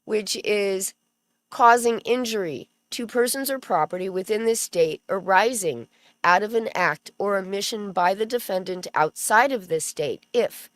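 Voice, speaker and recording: A woman's voice: mid-range voice, a little slow, no room sound. A woman hosting a casual commentary stream, chatty and sarcastic, who stops to read legal text aloud. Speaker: commentary channel host.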